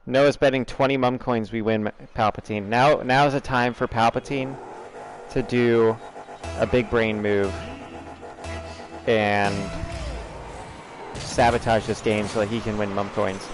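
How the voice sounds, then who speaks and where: A man's voice: medium pitch, fairly even intonation, a little slow, music in the background. A young American man with a General American accent, chatting loosely and laughing as he streams a game and talks to his chat. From United States. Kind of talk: casual livestream chatter over gameplay.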